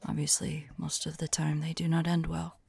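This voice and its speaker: Woman acting a breathy, weary, devoted vampire lover. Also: low-pitched, monotone, dry recording, heavily acted. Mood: weary, pensive. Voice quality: breathy, whispery, husky. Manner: gentle, halting, hushed delivery. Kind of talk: ASMR audio roleplay, soft intimate acting.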